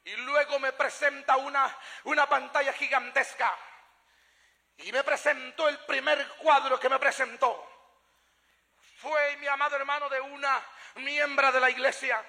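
Male preacher, very high-pitched, narrow melodic range, emphatic storytelling testimony, long hall reverb. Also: pushed and bright. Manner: emphatic, repeats phrases, acts out dialogue. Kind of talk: fervent sermon testimony, dramatic storytelling.

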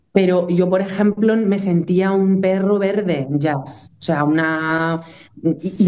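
Northern spanish lady chatting away in an interview, soft mellow voice, kinda rambly and reflective. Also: fairly low pitch, dull audio. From Aragón, Spain. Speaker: musician and singer being interviewed. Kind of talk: casual reflective interview talk.

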